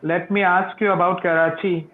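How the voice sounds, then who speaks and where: A man's voice: high-pitched, little pitch movement, slight background noise. Tinny-sounding man practicing English. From Pakistan. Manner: slow, careful learner's English. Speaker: interviewer and channel host. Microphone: video call. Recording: tinny phone-like call audio.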